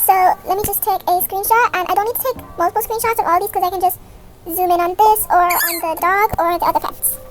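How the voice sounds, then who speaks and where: A young woman with a very high, girlish pitch talks through a tutorial in a casual way. Music plays behind her voice-over. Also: fairly even intonation, fast. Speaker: video creator and host. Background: background music.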